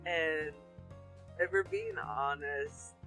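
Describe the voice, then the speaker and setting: A man being interviewed over a call, talking in a laid-back, dry way about himself, on a quiet USB mic. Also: high-pitched, wildly swooping pitch, very slow, soft background music, rough recording. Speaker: guest streamer. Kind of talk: casual chat over a video call. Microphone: USB mic with a headset, quiet.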